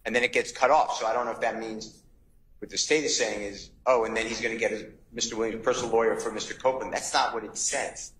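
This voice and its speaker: The room echoes and a faint noise sits behind this man's voice. He is a middle-aged defense attorney with a fairly low pitch. He argues his objection to the court. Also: fairly even intonation, quick pace, a bit bright. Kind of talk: courtroom argument. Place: large wood-paneled courtroom. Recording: roomy courtroom echo, background noise.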